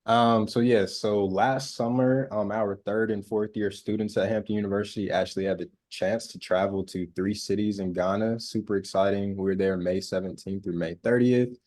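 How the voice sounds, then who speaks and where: A man giving a relaxed slide presentation over a video call, with frequent "um" fillers. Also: lowish voice, normal speed, few pauses. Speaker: student presenter.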